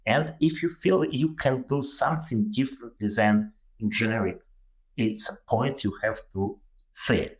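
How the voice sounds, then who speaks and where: A man with a Ukrainian accent presents in a webinar over a video call. His voice sits in a middle range for a man. He talks haltingly, with plenty of fillers and restarts. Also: medium pitch, low-quality recording. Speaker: guest presenter.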